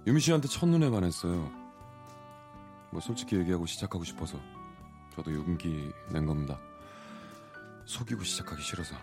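A man's voice: low voice, lively intonation, brisk, pauses often, music in the background, pitched below the performer's own voice. A man playing an earnest, forward suitor of thirty-one in a radio story reenactment. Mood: earnest confession. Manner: casual, pushy, earnest. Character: forward, earnest 31-year-old man courting a woman.

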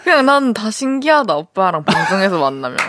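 A woman's voice: medium pitch, sing-song, a little fast, background noise. A young woman hosting a radio show, chatting playfully with a guest and laughing often. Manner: giggly, playful, teasing. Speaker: radio DJ host. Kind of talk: casual radio chat with a guest. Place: radio studio.

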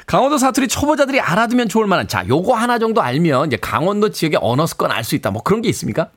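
A man hosting a radio show, presenting and chatting with callers in a lively way. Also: sits high, very fast. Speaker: radio host.

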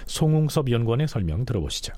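A man's voice: mid-range voice, sing-song, quick pace, mellow, no room sound. A man narrating a history documentary in a scripted storytelling style.

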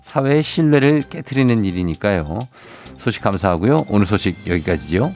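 A man hosting a radio show, reading news items and chatting with a guest in a calm, measured way. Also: lowish voice, faint music behind. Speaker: radio host.

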